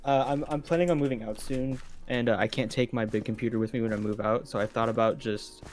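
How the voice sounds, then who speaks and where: Fast-talking laid-back young man. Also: mid-range voice, fairly even intonation, a little mellow. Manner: quick, laid-back, swears casually. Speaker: podcast guest. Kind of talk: casual gaming chat.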